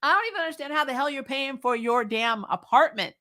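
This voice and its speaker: A woman's voice: high-pitched, animated, fairly quick, pushed and bright. A woman chatting casually as host of a recap stream, blunt, sarcastic and emphatic, swearing freely and sounding exasperated. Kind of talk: casual opinionated livestream review chat.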